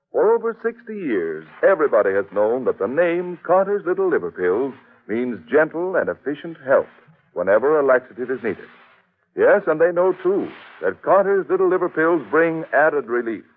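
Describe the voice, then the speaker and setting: An American man announcing in formal, emphatic 1940s radio style, reading scripted copy with polish. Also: on the high side. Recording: tinny old radio broadcast recording.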